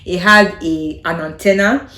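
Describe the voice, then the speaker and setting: A young woman with a Nigerian accent chats to camera in a slightly low voice. She talks thoughtfully and hesitantly, her sentences often fading out softly. The room around her has a noticeable echo. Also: fairly even intonation, a little slow. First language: Yoruba. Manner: thoughtful, hesitant, trailing off softly with frequent laughs. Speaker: vlogger. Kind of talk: casual chat to camera. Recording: echoey room.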